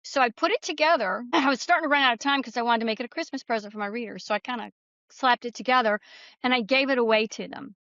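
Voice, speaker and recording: Higher-pitched woman, slightly dull recording. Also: fast talker, a bit bright, dry recording. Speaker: author, podcast guest. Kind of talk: casual conversational storytelling.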